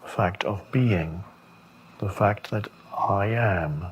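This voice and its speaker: A man giving a meditation talk as a spiritual teacher, speaking contemplatively with long pauses and gentle questions. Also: on the low side, background noise.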